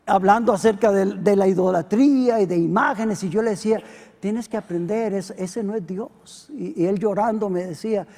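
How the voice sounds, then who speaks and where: A middle-aged Costa Rican pastor preaching a sermon, swinging between loud emphatic peaks and quiet low asides. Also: male voice. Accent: Costa Rican Spanish. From Costa Rica. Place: church hall. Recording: long hall reverb.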